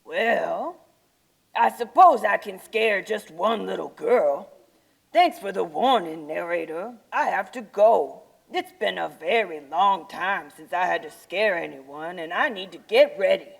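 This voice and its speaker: Creaky voice, slow, gentle shy Yeti creature acted by a woman, hesitant, mild, puppet show. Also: fairly low pitch, lively intonation, exaggerated voice, rough recording. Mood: hesitant, resigned. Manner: hesitant, reluctant, mild-mannered. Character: gentle, timid, reluctant, cute fluffy Yeti creature. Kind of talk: children's puppet show acting.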